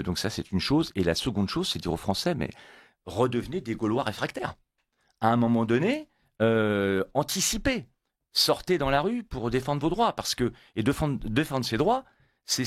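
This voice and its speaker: A man's voice: medium pitch, big pitch swings, normal speed, dry recording, studio quality. A man born in Italy, a guest on a radio interview, talking emphatically and repeating words when pressed. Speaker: guest, motorcyclists' federation coordinator.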